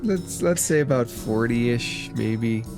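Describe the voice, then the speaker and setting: A man's voice: medium pitch, expressive, takes it slowly, music in the background. A man running a tabletop game as game master, often restarting and repeating words as he narrates.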